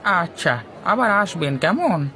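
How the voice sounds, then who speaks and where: A man's voice: sits high, very animated, takes it slowly, bright, forceful tone, a faint crowd, slight background noise, heavily acted. A man voicing an eager, persuasive village market vendor in a cartoon, salesmanlike and keen to please. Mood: eager, reassuring. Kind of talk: cartoon dialogue acting.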